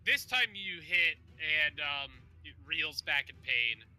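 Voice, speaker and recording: A man's voice: a little high, sing-song, slow, sharp tone, faint music behind, low-quality recording. A man running a casual tabletop game as game master, narrating and bantering with players.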